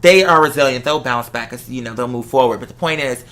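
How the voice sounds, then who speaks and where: Chatty American talk host, bright forceful voice, rambling emphatic storyteller. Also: mid-range voice, fast. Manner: animated, emphatic, rambling with tangents. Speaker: host of an online talk show. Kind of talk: casual conversational storytelling.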